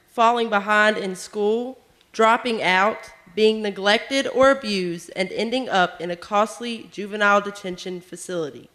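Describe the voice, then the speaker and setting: Young woman reading a statement. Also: mid-range voice. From West Virginia. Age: young adult. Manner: steady listing delivery. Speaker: student leader.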